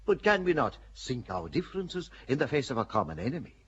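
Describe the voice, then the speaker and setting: An actor playing a calculating bishop in a radio play, speaking in a measured, persuasive way with a husky voice. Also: male voice, mid-range voice, sing-song, fairly bright tone, dull audio, heavily acted. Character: shrewd older churchman, a bishop, calculating.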